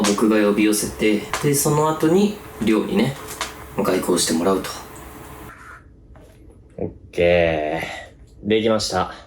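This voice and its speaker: A man's voice: on the low side, a little mellow, slight background noise. A man talking casually as host of a ghost-hunting video. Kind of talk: casual chat.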